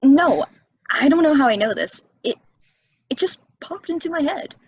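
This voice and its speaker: A woman's voice: high-pitched, expressive, moderate pace, dry recording, highs cut off. An actress playing a level-headed, skeptical woman with a dry, conversational delivery, in a table reading of a stage play. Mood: alarmed, defensive.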